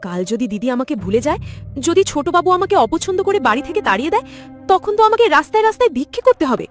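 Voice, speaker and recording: A woman's voice: extremely high pitch, sing-song, rapid-fire. A woman voicing a jealous, greedy young village girl in a cartoon, in a dramatic, emphatic manner. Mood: scheming, resentful. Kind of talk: cartoon voice acting. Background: background music.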